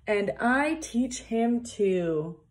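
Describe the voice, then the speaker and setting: Slow-paced young woman reading storytime. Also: fairly high pitch, expressive. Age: young adult. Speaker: early years worker reading a picture book. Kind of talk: read-aloud storytime for young children with casual asides. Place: small plain indoor room, seated at a desk. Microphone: phone or device propped at arm's length.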